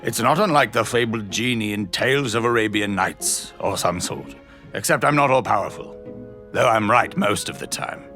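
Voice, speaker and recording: A man's voice: lowish voice, moderate pace, sharp tone, faint music behind. An actor playing a pompous, blustering old British statesman in an audio drama, boastful yet kindly, with grand oratorical phrasing. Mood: boastful, orating. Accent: British English.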